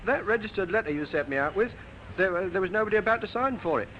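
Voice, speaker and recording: An eager, polite young messenger boy with a high voice and quick speech. It is 1950s radio drama, on a dull old recording. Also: expressive, a little hiss or hum. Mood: polite, hesitant.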